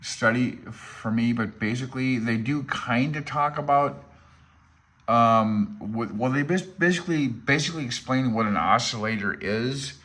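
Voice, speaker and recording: A man's voice: medium pitch, a little slow, fairly bright tone. A man informally reading aloud from a handbook and commenting as a hobbyist presenter, self-deprecating and unsure as he thinks aloud. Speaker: amateur radio hobbyist presenter.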